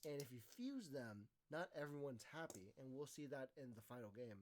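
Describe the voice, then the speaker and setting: A man co-hosting a casual chat, explaining things haltingly with many fillers and restarts. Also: mid-range voice, lively intonation.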